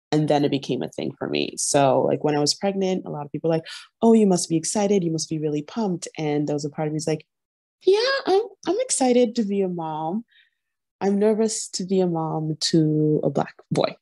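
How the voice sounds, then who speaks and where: Low, mellow-voiced woman on a video call. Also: no echo, clean studio sound. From Nigeria. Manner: earnest, frequent laughter, animated storytelling. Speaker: podcast guest. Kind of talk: candid interview conversation. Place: home room, indoors.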